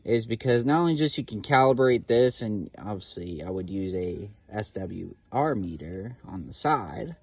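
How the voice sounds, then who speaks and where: A man's voice: fairly low pitch, a little mellow, no echo. A man giving a casual, rambling show-and-tell about his gear, with lots of "you know" fillers and a slightly nervous, informal manner. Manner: admits to nervousness. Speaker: hobbyist radio reviewer.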